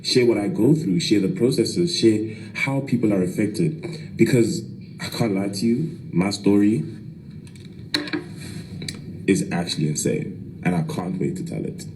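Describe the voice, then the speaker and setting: A young man speaks in a low, mellow voice. He addresses the camera earnestly, with faint traffic and outdoor noise behind him. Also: animated, unhurried, long pauses, poor audio. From Nigeria, raised in South Africa. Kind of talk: social media video monologue.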